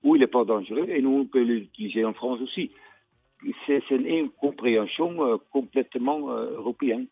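An older man farmer from the Netherlands with a Dutch accent, speaking plainly and emphatically in a radio interview, pausing often with fillers. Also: mid-range voice, no room sound, faint music behind. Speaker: fruit farmer. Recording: tinny phone-like radio line.

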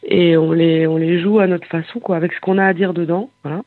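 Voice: medium pitch, little pitch movement, fairly quick, dry recording. Singer, phone interview, hesitant, many fillers, tinny phone sound, dry. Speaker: singer and bandleader.

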